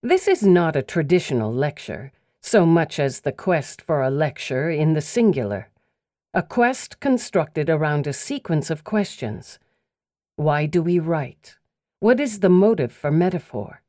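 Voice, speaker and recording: A woman reads literary writing as an audiobook narrator, slowly and deliberately. Her voice is mellow and moves expressively up and down in pitch, with each phrase precisely placed. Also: big pitch swings, no room sound, clean studio sound. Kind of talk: scripted literary essay and poetry narration.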